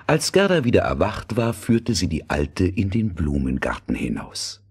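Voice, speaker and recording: A man with a husky, breathy voice narrating a scripted fairy tale. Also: sits low, huge pitch swings, normal speed.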